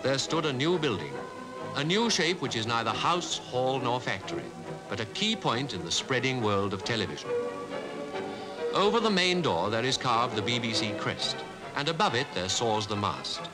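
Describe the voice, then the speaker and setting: A man with a British Received Pronunciation accent reading scripted 1950s newsreel narration in a formal, measured way. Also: medium pitch, big pitch swings, over music, rough recording. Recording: 1950s newsreel archive soundtrack.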